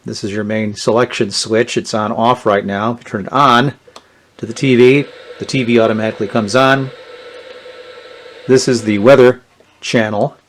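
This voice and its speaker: A man's voice: medium pitch, steady tone, moderate pace. A man giving a casual, unscripted hands-on product walkthrough in a plainspoken, explanatory manner. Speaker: gadget reviewer. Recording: clear background noise and clicks.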